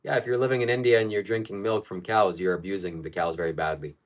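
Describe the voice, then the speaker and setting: A man from Ukraine hosts a casual live stream, reading chat questions and answering them bluntly. His voice sits a little low, and his opinions come out flat and certain. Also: fast.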